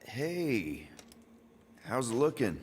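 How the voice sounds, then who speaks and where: A man's voice: mid-range voice, big pitch swings, extremely slow, darkish tone, slight background noise. A man playing an easygoing but exasperated young space-adventurer leader in a video game, casual and wry. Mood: earnest.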